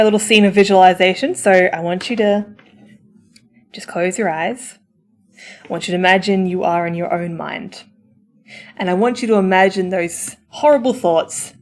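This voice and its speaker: A woman speaking as a supportive friend in a comforting roleplay, chatty and informal, with a theatrical, encouraging manner. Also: on the low side. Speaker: audio roleplay performer playing a supportive friend. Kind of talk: comforting roleplay, chatty pep talk to the listener.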